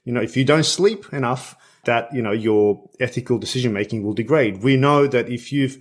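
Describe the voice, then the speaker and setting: A man from Bosnia hosting an interview podcast, talking conversationally and repeating words as he forms his thoughts. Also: medium pitch. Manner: halting, repeats words while forming thoughts. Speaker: podcast host.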